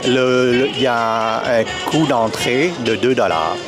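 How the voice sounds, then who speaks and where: A man's voice: medium pitch, slow pace, over loud music, a loud crowd. A man born in Vietnam, a native Vietnamese speaker, answering street interview questions as a festival volunteer, hesitant and full of fillers and restarts. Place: outdoors at a city festival.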